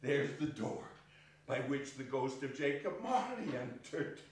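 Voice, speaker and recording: A man's voice: mid-range voice, very animated, a little slow. A man playing an overjoyed, reformed old man in an exuberant, declamatory stage reading of a Victorian story. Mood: giddy wonder. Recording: echoey hall, distant microphone.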